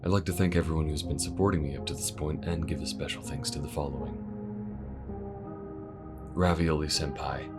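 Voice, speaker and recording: A man reading the outro of his own audio drama, thanking supporters in a soft, polite voice. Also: rumbling low, steady tone, fairly quick, long pauses, over loud music. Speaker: voice actor and channel host.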